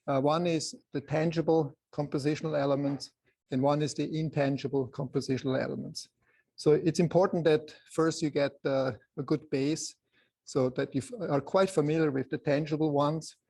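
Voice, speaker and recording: A soft-voiced man with a Swiss accent presenting an informal photography lecture to a group, in halting phrases with many pauses. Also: a little high, steady tone, vocal fry, breathy edge. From Switzerland.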